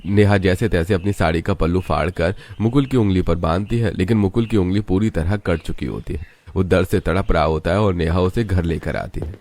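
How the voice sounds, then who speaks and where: A man's voice: low voice, brisk, darkish tone. A man reading scripted narration for a moral story.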